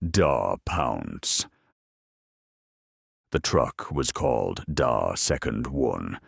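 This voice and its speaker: A man reading a scripted dramatic story in a measured, deadpan manner with dry humour. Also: extremely low pitch, creaky, slightly rough, dry recording. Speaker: narrator.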